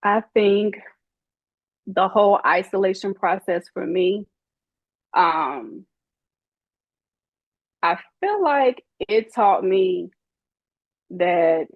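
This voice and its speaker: A woman's voice: lowish voice, no room sound, phone-quality sound. A woman in her forties, a guest in an interview, speaking candidly in a sassy, emphatic way and acting out the people she quotes. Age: 40s.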